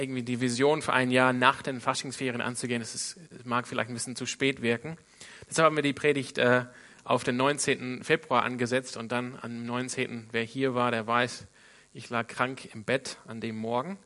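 Bright-voiced Australian-born pastor preaching. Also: male voice, medium pitch. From Sydney, Australia. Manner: many hesitations and fillers, searching for German words. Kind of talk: sermon.

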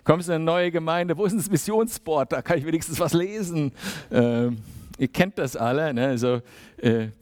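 A man's voice: fairly high pitch, normal speed, darkish tone. Casual conversational male preacher. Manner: conversational, acts out small dialogues. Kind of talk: sermon.

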